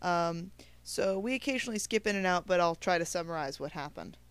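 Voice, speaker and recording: A woman's voice: fairly low pitch, animated, brisk, clear, resonant tone, dry recording. A woman adding a matter-of-fact explanatory aside to a commentary.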